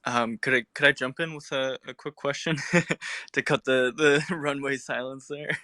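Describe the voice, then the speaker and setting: A man's voice: medium pitch, fairly even intonation, measured pace, pushed and bright, dry recording. Tentative man with a dry, close sound. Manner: tentative, meandering, polite. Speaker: audience speaker asking a question. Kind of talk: casual live audio discussion. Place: live online audio room.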